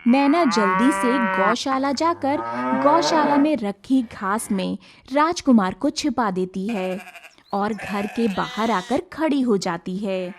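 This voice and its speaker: A woman's voice: on the high side, lively intonation, slow, crowd noise. A woman narrating a scripted cartoon story as storyteller.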